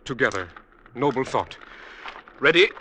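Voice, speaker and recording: An actor playing a stubborn, grasping younger brother in an Indian village, speaking formally and earnestly in 1950s radio drama style. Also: male voice, fairly low pitch, expressive, normal speed, sharp tone, low-quality recording. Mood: earnest, pious. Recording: old radio recording, faint background noise.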